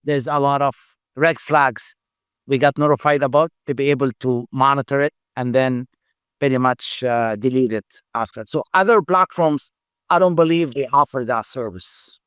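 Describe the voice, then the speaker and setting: A middle-aged man, a company founder, speaking English as a second language in a halting interview answer style, full of fillers and repeated words. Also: medium pitch, a bit bright, smooth, dry recording. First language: not English. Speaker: founder and CEO, podcast guest.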